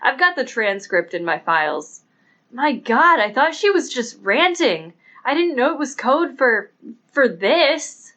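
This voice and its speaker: A woman voicing a sharp female police inspector in an audiobook, asking quick, incredulous questions. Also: sits high, sing-song, exaggerated voice. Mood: puzzled. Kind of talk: audiobook character reading.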